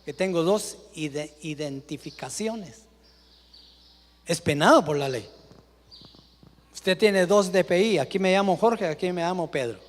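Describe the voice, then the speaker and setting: A man, a pastor preaching a dramatic sermon that swings from loud calls to hushed asides. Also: sits high, measured pace, pauses often. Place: church. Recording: live church sermon with long reverb.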